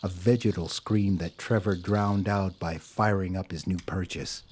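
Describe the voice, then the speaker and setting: A man narrating an audio drama in a measured, deliberate storytelling style. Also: deep voice, fairly even intonation, a little mellow, slight background noise.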